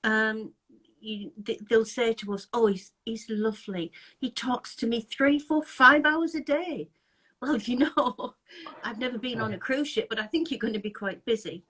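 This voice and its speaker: A woman's voice: fairly high pitch, moderate pace, fairly bright tone, husky, rough recording. A woman from England hosting a casual live-chat interview over a video call, chatty, laughing often and restarting her sentences. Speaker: interviewer, anti-scam group host.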